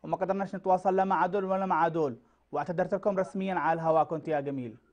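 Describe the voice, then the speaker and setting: Fast-talking man hosting a call-in show. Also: high-pitched, fairly even intonation, darkish tone, highs cut off. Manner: fast, friendly, interjects short acknowledgements. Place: TV studio. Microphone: lapel mic.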